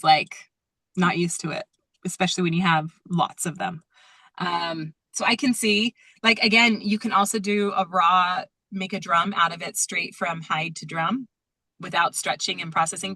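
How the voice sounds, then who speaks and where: A woman's voice: fairly low pitch, fairly even intonation, moderate pace, sharp tone, dry recording. A woman teaching and leading a group call in a casual, chatty, conversational way.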